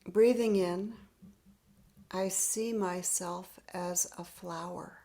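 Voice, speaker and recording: Gentle woman guiding meditation over a video call; very slow, soft, unhurried. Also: lowish voice, fairly even intonation. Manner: soft-spoken, often half-whispered; gentle, unhurried, laughs lightly. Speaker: meditation leader. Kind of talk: guided spiritual practice.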